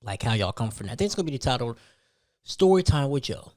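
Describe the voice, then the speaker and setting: Male podcast host, average pitch, emphatic and informal storytelling full of slang, repeated phrases and rhetorical questions. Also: very animated, fast, a little mellow. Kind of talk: casual solo rant.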